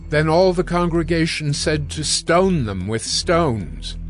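A man narrating a dramatized audio Bible in a measured, solemn storytelling manner. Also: fairly high pitch, very animated, faint music behind.

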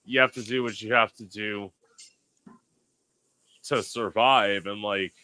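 A man's voice: fairly low pitch, fairly even intonation, slow, pushed and bright. A man hosting a casual podcast over a video call from a room at home, rambling with many fillers and laughs. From South Florida. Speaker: podcast host.

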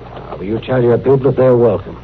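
An actor playing a kindly, modest frontier doctor in a radio western, with a hesitant, folksy way of talking. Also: male voice, mid-range voice, a little fast, lightly acted. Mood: flustered, friendly. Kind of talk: old-time radio western acting. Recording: tinny, noisy old radio recording.

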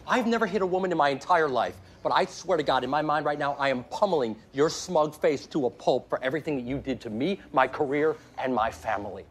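A man's voice: medium pitch, animated, normal speed, fairly bright tone. A man acting in a comedy-drama as a combative, sarcastic American talent agent who rants profanely. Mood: furious. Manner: aggressive, sarcastic ranting. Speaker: actor in a comedy-drama series. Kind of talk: scripted screen acting.

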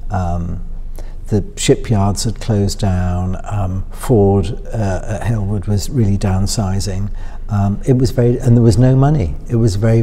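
A middle-aged man from Northwest England with a softened upper-middle-class accent, musing through interview answers with many fillers and restarts. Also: on the low side. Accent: upper-middle-class Northwest English softened by London. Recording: voice with long hall reverb.

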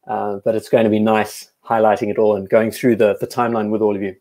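A man's voice: lowish voice, flat delivery, fast talker, dark tone. A man hosting a live watch stream, chatting casually and thinking aloud as he comments on viewers' photos, often restarting his sentences. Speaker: watch show host.

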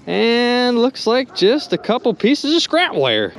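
Young male vlogger on a river boat, lively swinging pitch, excitable outdoor chatter, faint noise behind. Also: takes it slowly. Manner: animated, exclaiming, chatty with viewers. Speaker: magnet fishing vlogger. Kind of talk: excited casual narration to viewers.

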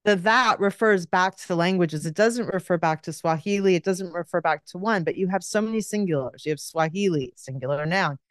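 A woman's voice: on the low side, brisk, few pauses, no echo, studio quality. A middle-aged woman on a video call, patiently explaining a grammar point step by step as a guest expert.

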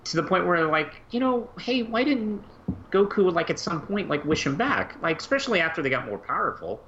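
Animated man thinking aloud, dull audio. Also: sits high, background noise. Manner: conversational, halting, thinking aloud. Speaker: podcast co-host. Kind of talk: casual review chat.

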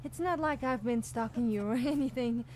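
Fantasy game follower, played by a woman: scatterbrained, boastful young troublemaker, fairly high voice, theatrical sass. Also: moderate pace, darkish tone, a little hiss or hum, lightly acted, low-quality recording. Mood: flustered. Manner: sassy, theatrical, self-important. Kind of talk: scripted fantasy game character dialogue.

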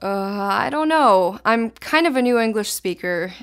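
A woman's voice: medium pitch, animated, a little slow. A teenage girl from Israel, a native Hebrew speaker, answering interview questions in casual, thoughtful sentences. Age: teens.

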